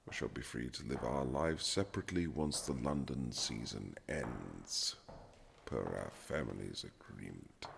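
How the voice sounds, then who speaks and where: Casting: male actor for haughty period-romance husband, bottomless bass with expressive swings, dry teasing formality. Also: gravelly, a little hiss or hum, exaggerated voice. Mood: cool, mocking. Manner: formal, dry, teasing, measured aristocratic phrasing. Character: reluctant aristocratic young husband, haughty, sardonic gentleman. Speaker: audio roleplay performer. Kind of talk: romantic period-drama ASMR roleplay, scripted.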